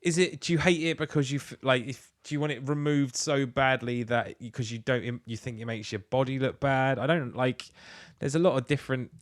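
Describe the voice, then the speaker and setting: A man's voice: medium pitch, fairly quick, few pauses. An English man co-hosting a podcast in casual comic chat, talking in a rambling, colloquial way with plenty of swearing. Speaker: podcast co-host.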